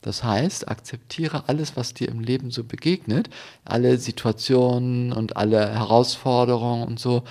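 A man's voice: mid-range voice, steady tone, moderate pace, darkish tone. A man giving an informal spiritual reflection as a guest, explaining step by step and often checking for agreement with small tag questions. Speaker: interview guest, spiritual devotee.

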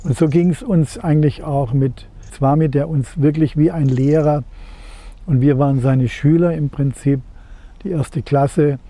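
A man in his seventies from Germany, recalling his life in an interview in a measured, reflective way, searching for words. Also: a little high, fairly even intonation, dark tone. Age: 70s. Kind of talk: reflective personal recollection in an interview.

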